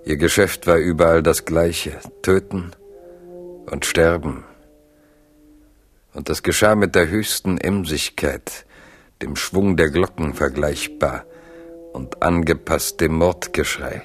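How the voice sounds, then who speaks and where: A man narrating a literary radio drama in a measured, grave storytelling manner. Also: rumbling low, fairly even intonation, faint music behind.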